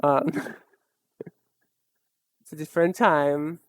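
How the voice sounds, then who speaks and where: A young woman streamer chatting casually while she draws, rambling and self-mocking in a soft, low-key way. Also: steady tone, takes it slowly, no room sound. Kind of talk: casual chat while drawing live.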